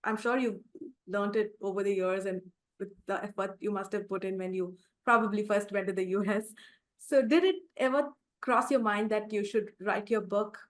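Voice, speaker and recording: A woman hosting a podcast interview in a conversational, encouraging and affirming way. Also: medium pitch. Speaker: podcast host.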